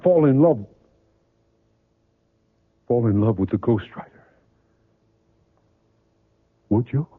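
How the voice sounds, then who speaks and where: A man's voice: mid-range voice, animated, measured pace, breathy edge. An actor playing a weary, sardonic, jealous male novelist in old-time radio drama, with clipped, dry retorts. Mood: wounded, jealous. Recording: dull lo-fi old radio broadcast.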